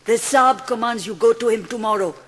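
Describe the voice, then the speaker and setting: A man playing a devoted manservant in a dramatised storybook, speaking formal, halting English. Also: extremely high pitch, a bit bright, slight background noise. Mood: solemn, kindly. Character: Indian manservant, devoted and formal, bringing good news. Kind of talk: dramatised audiobook acting. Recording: long reverb.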